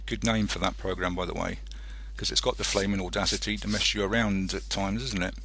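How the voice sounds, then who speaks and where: Hesitant man with a low, gravelly voice. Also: steady tone, sharp tone. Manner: halting, hesitant, thinking aloud. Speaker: internet radio host. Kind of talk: rambling casual radio monologue.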